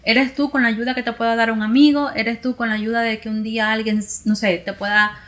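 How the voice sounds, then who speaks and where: A woman with a Cuban accent, a podcast host thinking out loud in a relaxed conversation with her guests. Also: on the high side, fairly quick. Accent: Cuban Spanish. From Cuba. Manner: reflective, speaks in long explanatory runs. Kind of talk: casual conversation.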